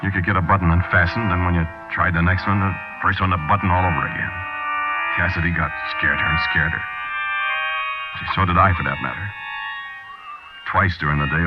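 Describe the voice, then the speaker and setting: An actor playing a hard-boiled American small-time crook, narrating colloquially and confidingly in a 1940s radio drama. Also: male voice, low voice, steady tone, quick pace, over loud music, close to an everyday voice. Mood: brooding, uneasy. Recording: dull 1940s radio broadcast recording.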